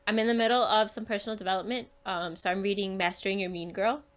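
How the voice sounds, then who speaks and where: A woman's voice: medium pitch, fairly even intonation, fast. A woman speaking as a podcast guest in a casual book chat, informal and chatty, laughing readily.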